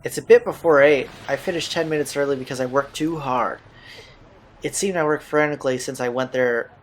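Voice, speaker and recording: A man reading visual novel text aloud in an informal, slangy way, as host of a playthrough. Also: mid-range voice. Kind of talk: reading game text aloud with casual asides. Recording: game music behind the voice. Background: visual novel soundtrack.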